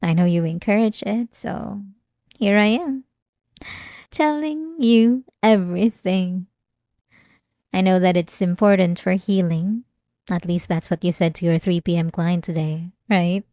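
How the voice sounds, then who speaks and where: A woman playing an obsessive, manipulative therapy patient in an ASMR roleplay, speaking softly and politely with an unsettling, menacing calm. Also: on the low side, measured pace, dry recording, clean studio sound. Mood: sweetly manipulative.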